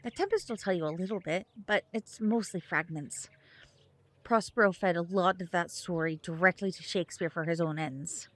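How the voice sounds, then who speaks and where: An actress playing a composed, knowledgeable mother with a measured manner in an audio drama. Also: lowish voice, fairly bright tone, close to an everyday voice. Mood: storytelling.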